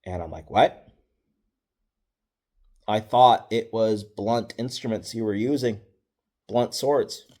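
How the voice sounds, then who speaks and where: Middle-aged man, lowish voice, football coach, casual storytelling, wry and matter-of-fact, fillers and restarts. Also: slow pace, long pauses, warm tone.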